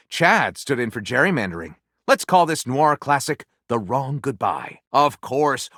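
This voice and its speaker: A man's voice: a little high, fairly bright tone, no room sound, clean studio sound. An actor playing a flippant, self-satisfied male writer in a video game, telling tales with wry, grandiose, theatrical delivery. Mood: grandiose, amused. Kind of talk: dramatic, comedic storytelling voice acting.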